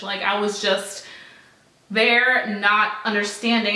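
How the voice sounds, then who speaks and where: A woman's voice: a little high, bright, forceful tone, reverberant, rough recording. A young woman from the US chatting casually to her viewers in an upbeat vlog, sprinkling her talk with "like" and "so". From United States. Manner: chatty and upbeat, heavy use of "like" and "so". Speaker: beauty vlogger.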